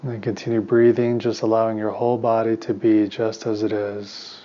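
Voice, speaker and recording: A man leading a guided meditation as a teacher, speaking in a calm, unhurried, soothing way with long pauses. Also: lowish voice, muffled recording.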